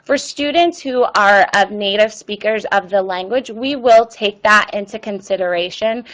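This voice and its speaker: Woman, webinar presenter, filler words, middle-pitched voice. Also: moderate pace, a bit bright, rough recording. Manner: many ums and uhs; reads viewer questions aloud. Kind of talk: presenting and answering questions.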